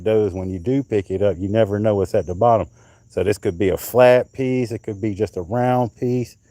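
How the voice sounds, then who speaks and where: A man with a low, dark, relaxed voice hosts a live stream in a loose, rambling way. He talks casually, restarts his sentences and lets thoughts trail off as he goes. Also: no room sound. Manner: rambling, chatty, drifts off mid-sentence; handling and showing coral pieces.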